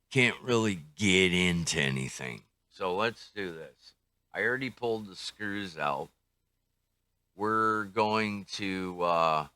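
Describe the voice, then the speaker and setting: An older man talks through a casual hands-on review in a low-pitched voice with a bright edge. He takes his time, speaking slowly and tentatively as he works things out aloud. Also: no echo. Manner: unhurried, tentative, thinking aloud. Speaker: radio reviewer.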